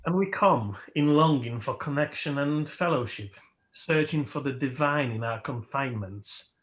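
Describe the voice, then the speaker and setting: A man whose first language is Polish, leading a worship service as its host and speaking slowly and reflectively, with emphatic rises, over a video call. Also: medium pitch, fairly bright tone. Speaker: worship leader. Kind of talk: sermon.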